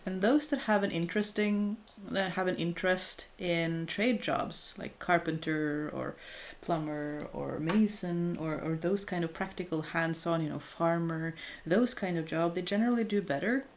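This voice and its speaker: A woman's voice: lowish voice, moderate pace, hardly any pauses, slight background noise. A woman in her thirties chatting casually and candidly with her viewers, thoughtful and self-deprecating, often restarting her sentences. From Norway. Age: 30s. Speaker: crafting streamer chatting with viewers. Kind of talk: casual live chat.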